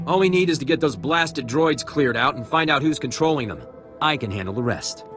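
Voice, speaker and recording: An actor playing an indignant, entitled settler in a video game, aggrieved and demanding. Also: male voice, fairly high pitch, lively intonation, brisk, over music, lightly acted.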